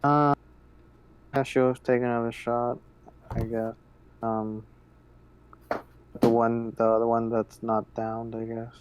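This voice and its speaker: A man's voice: medium pitch, level tone, takes it slowly, pauses often, a little hiss or hum, poor audio. A man chatting casually as a tabletop game player in a matter-of-fact way.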